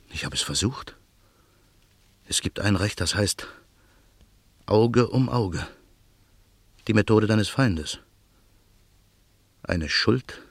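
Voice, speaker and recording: A brooding avenger in a radio play, speaking his inner monologue slowly and deliberately. The voice is deep and gravelly with a husky edge. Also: dry recording, heavily acted. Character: grim man plotting revenge, brooding. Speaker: narrator and lead character.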